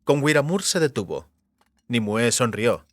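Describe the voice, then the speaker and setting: A man's voice: medium pitch, big pitch swings, unhurried, no echo, studio quality. A man narrating a fantasy novel as scripted audiobook narration.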